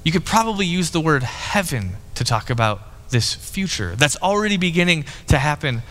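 Bright high-voiced man preaching in a hall. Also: very animated, moderate pace. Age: 30s. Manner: conversational, candid, with frequent restarts and "like". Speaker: pastor. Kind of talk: sermon.

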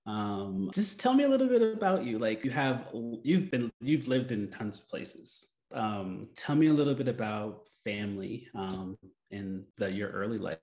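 A fairly low-pitched man hosting a relaxed interview conversation. He talks with eager enthusiasm, full of praise, filling his sentences with "like" and "you know". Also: normal speed. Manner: enthusiastic, heavy use of "like". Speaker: podcast host and international school teacher. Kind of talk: casual interview chat.

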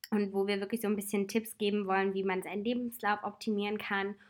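A woman in her twenties with a slight cold talks in a relaxed, personal way. She is reflective and chatty and laughs softly now and then. Her voice sits at an ordinary pitch for a woman. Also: fast talker. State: has a cold. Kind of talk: casual personal advice talk.

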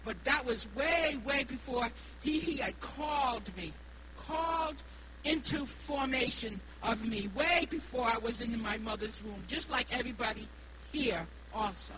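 A woman in her sixties with a New England accent preaches haltingly, repeating phrases and stressing key words. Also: a little high. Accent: New England American. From Rhode Island, United States. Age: 60s. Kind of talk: evangelical sermon with personal testimony. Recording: noisy live recording with hum.

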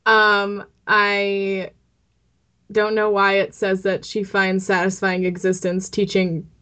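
A woman's voice: medium pitch, monotone, takes it slowly, fairly bright tone. A woman co-hosting a podcast in an informal, opinionated way, sometimes reading aloud and sometimes chatting. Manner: opinionated, informal, laughs easily. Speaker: podcast co-host and producer. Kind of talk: casual chat with read-out synopsis.

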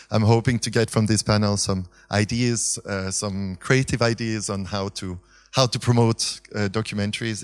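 A man from Switzerland informally moderating a live panel, speaking in a hesitant, hedging way. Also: on the low side. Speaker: panel moderator, marketing agency founder. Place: talk in front of an audience.

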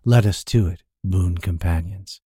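Guy as a sly tired rogue guide, lowish voice, sour n fake polite, fantasy story. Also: unhurried, mellow, slightly rough, dry recording. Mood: smooth, weary. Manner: dry, falsely deferential. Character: sly, sour, world-weary thin male hireling guide.

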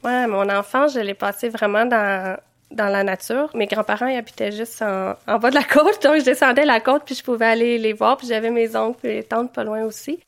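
A woman's voice: fairly high pitch, steady tone, brisk. A woman in her thirties with a Quebec French accent, recounting her life in an interview with many hesitations. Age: 30s. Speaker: interviewee, visual artist. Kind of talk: interview storytelling.

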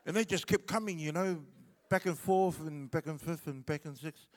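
A middle-aged man with a New Zealand accent and Samoan as his first language tells a loose, rambling comic story on stage. His voice sits a little high for a man, and long reverb from the hall trails behind each phrase. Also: normal speed. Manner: laid-back and rambling, with many fillers. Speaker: comedian. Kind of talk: stand-up storytelling.

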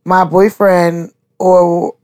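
A woman's voice: lowish voice, little pitch movement, extremely slow, darkish tone. A woman chatting casually on a podcast, frank and dismissive, with some swearing. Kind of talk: casual chat.

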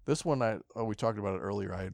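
A man's voice: fairly low pitch, fast, gravelly, a little mellow, dry recording, clean studio sound. A man co-hosting a podcast in casual, profane, self-deprecating chat. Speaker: podcast co-host.

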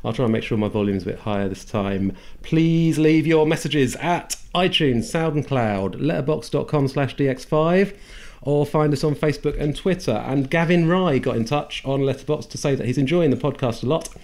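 A man's voice: on the high side, moderate pace, a little mellow. A man hosting a casual film podcast, chatty and joking as he reads listener feedback. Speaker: podcast host.

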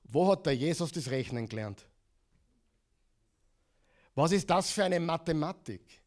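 High-voiced Austrian man preaching. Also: measured pace. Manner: lively preaching, asks the congregation to repeat words. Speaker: pastor. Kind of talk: sermon.

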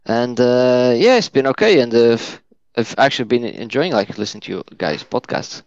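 A man's voice: medium pitch, lively intonation, moderate pace, darkish tone, no echo. A man from Portugal, a Portuguese native, chatting as a podcast guest, hesitant with many fillers and restarts. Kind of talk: casual chat. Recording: dull lo-fi dry sound.

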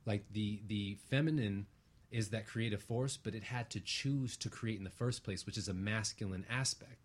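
A man's voice: lowish voice, moderate pace. A man speaking as an interview guest in a reflective, earnest way, using abstract spiritual phrasing and often checking for agreement.

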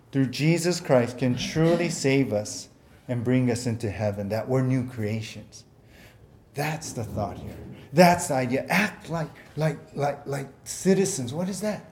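A man's voice: mid-range voice, unhurried, darkish tone. A man preaching a sermon as pastor, earnest and conversational, often repeating words and using fillers, whose first language was Japanese.

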